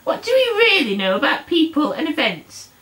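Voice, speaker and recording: A woman's voice: sits high, big pitch swings, moderate pace, a bit bright, distant mic, poor audio. A woman voicing a chatty, sardonic suffragette puppet with a theatrical, mock-genteel manner in a comic puppet show. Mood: chirpy, sardonic. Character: chatty sardonic suffragette puppet, a self-declared blonde bimbo.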